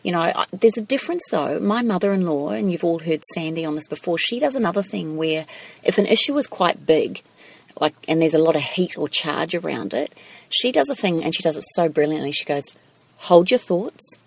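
Husky, gravelly woman chatting casually. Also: low-pitched, expressive, fairly quick. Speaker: panel speaker on a wellness show. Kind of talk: casual discussion about self-help and wellness.

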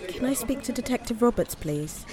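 An actress playing an earnest, guilt-ridden sister in an audio drama, plain-spoken, confiding and persistent. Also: female voice, a little high, animated, moderate pace, natural voice. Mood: anxious, persistent.

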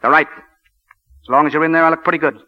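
A man's voice: on the high side, fairly even intonation, brisk, natural voice. A man playing a commanding, wry American college football coach with clipped, bossy delivery, in 1940s radio drama style. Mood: teasing, fond. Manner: clipped, bossy, wryly sarcastic. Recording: dull lo-fi 1940 radio broadcast.

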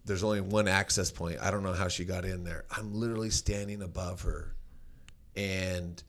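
A man with a very low, deep voice talks loudly and casually. He rambles through stories, restarting his sentences and leaning on fillers as he goes. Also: steady tone, normal speed. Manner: loud voice. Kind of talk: casual conversation, storytelling.

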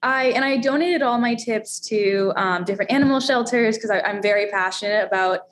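Young woman from Florida, chatty, bubbly guest, pitched a bit high, faint hiss under her. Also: a little fast. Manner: chatty and bubbly, laughs often. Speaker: radio host and steel drum player. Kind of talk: casual interview chat.

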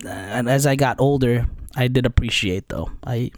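A man's voice: mid-range voice, moderate pace, dry recording, clean studio sound. A man chatting casually as a guest, candid and rambling, poking fun at himself. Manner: candid, rambling, self-deprecating. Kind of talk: casual conversation.

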